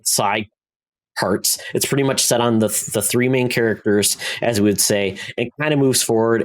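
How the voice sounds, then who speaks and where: A man chatting casually as a podcast co-host giving reviews, in a halting, rambling way where he often interrupts himself. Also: medium pitch.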